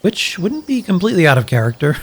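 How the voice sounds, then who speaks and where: An actor playing a sincere, joking wasteland survivor in an audio drama, speaking earnestly and hesitantly with wry, profane humour. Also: male voice, sits high, slightly rough, full character voice. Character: sincere, grateful, joking male wasteland survivor, loyal friend. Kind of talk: audio drama dialogue, naturalistic.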